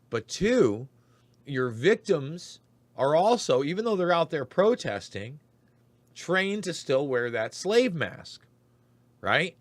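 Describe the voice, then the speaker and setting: A hoarse man with a raspy voice, hosting a show of emphatic political commentary. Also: medium pitch, dry recording. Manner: emphatic, ranting delivery. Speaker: host.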